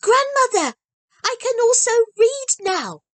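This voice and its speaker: A bubbly little girl's voice pitched way up high, gushing with eager delight as it leaps about in a lively sing-song, put on by a woman reading a storybook aloud. The sound is close and dry, with no room around it. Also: unhurried, dry recording, exaggerated voice. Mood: eager gleeful. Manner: bubbly, gleeful, breathless rush. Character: excitable eager little girl.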